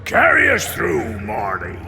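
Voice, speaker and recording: An actor playing a bold, swaggering legendary pirate captain with a gravelly voice. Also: male voice, a little high, huge pitch swings, slow, exaggerated voice. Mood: bold, rousing. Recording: long hall reverb under music.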